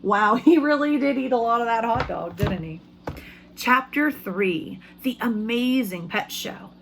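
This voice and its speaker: Slow-paced woman teacher reading to children. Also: on the high side, a little hiss or hum. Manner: expressive, simple child-directed talk, asks questions. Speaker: elementary school teacher. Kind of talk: children's read-aloud with commentary and questions.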